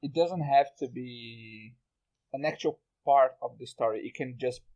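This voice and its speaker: A man hosting a casual group podcast chat, speaking haltingly and repeating his words. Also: mid-range voice, a little mellow, lo-fi sound. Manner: halting, repeats words, often breaks into laughter. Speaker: podcast host. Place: remote group voice call.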